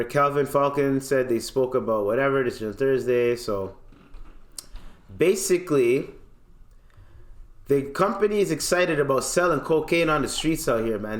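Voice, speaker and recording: A man's voice: mid-range voice, normal speed, fairly bright tone. A man hosting a podcast, reading news aloud theatrically and commenting in casual, playful slang. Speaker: podcast host. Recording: echoey, roomy sound.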